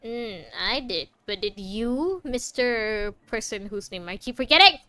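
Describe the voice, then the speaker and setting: A woman's voice: a little high, animated, a little slow, bright, forceful tone, faint music behind. A young adult woman streaming a game, chatting casually and reacting in a playful, teasing way. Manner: playful, teasing, mild swearing. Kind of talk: casual let's play commentary, reading along and reacting.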